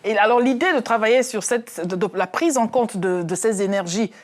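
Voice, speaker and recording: A woman's voice: medium pitch, moderate pace. A woman in her forties hosting a live French talk show, formal in phrasing and lightly joking with her guests. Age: 40s.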